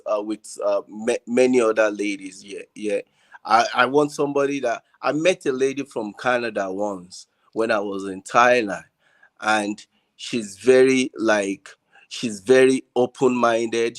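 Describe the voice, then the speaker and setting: A man with a Nigerian accent talking casually as a panel guest, haltingly repeating words as he searches for his phrasing. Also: mid-range voice. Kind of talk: casual discussion. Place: online group video call.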